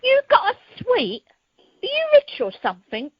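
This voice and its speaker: Brash, cheeky wife acted by a woman, high and lively, flirty, amateur radio comedy. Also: a little slow, no echo, full character voice. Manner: cheeky, colloquial. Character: brash, flirty married woman with expensive tastes. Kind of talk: amateur radio play acting. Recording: dull telephone conference line.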